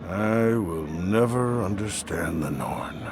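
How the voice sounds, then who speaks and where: A man playing a gruff, grave dwarf war leader in a fantasy game, with a gravelly voice. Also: sits low, animated, slow pace, a crowd in the background. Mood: wry, resolute. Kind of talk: dramatic fantasy game acting. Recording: music behind the voice.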